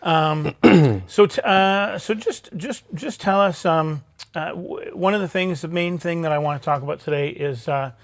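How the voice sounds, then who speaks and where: A man hosting a live talk show interview, chatty and halting, with many restarts as he looks for words. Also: a little high, no echo. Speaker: host. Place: theatre stage, live show.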